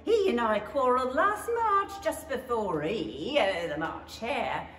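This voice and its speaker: A woman's voice: a little high, expressive, a little slow, a bit bright. A woman playing an eccentric, rude mad hatter in an old-time radio play, blunt and theatrical. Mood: musing. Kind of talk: 1930s-style radio play acting. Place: stage in an empty theatre hall. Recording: echoey hall from far off, faint noise.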